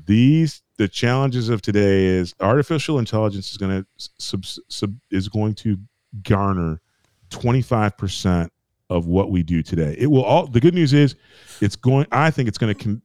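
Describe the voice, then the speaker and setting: A man's voice: fairly low pitch, expressive, moderate pace, a little mellow, dry recording. An American man co-hosting a podcast, ranting in casual chat, emphatic and repetitive, with restarts and swearing. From United States. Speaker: podcast co-host.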